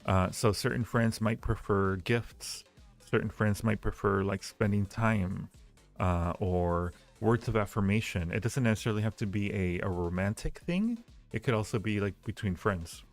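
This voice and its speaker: A man with a fairly low voice and plenty of vocal fry hosts a casual advice stream. He talks in a halting, rambling and reassuring way. Faint music plays underneath. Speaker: livestream host giving advice. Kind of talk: casual chat, answering listener questions.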